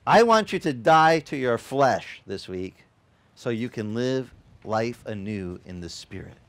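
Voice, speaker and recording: A middle-aged man whose first language is Italian, preaching a sermon outdoors at a beach with a headset mic, in a lively, conversational style full of joking asides. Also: mid-range voice, a little slow. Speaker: pastor.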